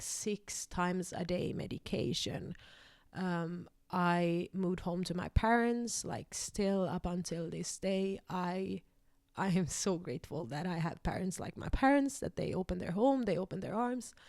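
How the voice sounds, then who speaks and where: A woman's voice: lowish voice, a little mellow, no room sound, clean studio sound. A young woman from Finland whose first language is Swedish, telling a personal story in a rambling way, full of fillers and restarts. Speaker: vlogger.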